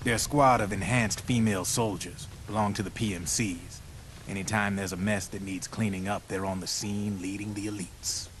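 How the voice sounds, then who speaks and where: An American actor playing a slick, talkative arms dealer in a video game cutscene, with streetwise, theatrical, drawn-out phrasing. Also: male voice, lowish voice, fairly even intonation, a little hiss or hum, close to an everyday voice. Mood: confiding. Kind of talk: video game cutscene acting, explanatory monologue. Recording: loud music over the voice.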